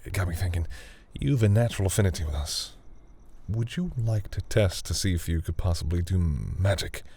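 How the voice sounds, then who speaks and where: Guy doing a really deep raspy old vampire boyfriend, shy n sweet, kinda halting, formal, roleplay audio. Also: expressive, mellow, heavily acted. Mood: shy, hopeful. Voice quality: deep, gravelly, husky. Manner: hesitant, halting, earnest, formal wording. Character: tender, bashful centuries-old male vampire lover. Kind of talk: intimate audio roleplay acting.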